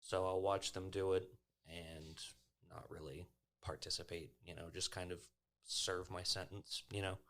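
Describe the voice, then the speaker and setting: A man's voice: low-pitched, level tone, measured pace, a bit bright. A man playing a tabletop game, casually describing what his character does.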